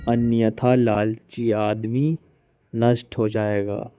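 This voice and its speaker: A man voicing a calm wandering holy man in a cartoon, calling out loudly to the villagers with stern warnings. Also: lowish voice, slow pace, lo-fi sound, heavy background noise. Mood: proclaiming. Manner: loud proclaiming calls. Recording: cartoon dub with music behind.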